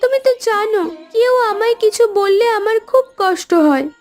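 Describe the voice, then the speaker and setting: Woman voicing a very high-pitched, plaintive young bride. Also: moderate pace, a little mellow. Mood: tearful, hurt. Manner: plaintive, pleading. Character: young new daughter-in-law, gentle, hurt, eager to please. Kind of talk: Bengali cartoon dialogue acting. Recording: background music under voice.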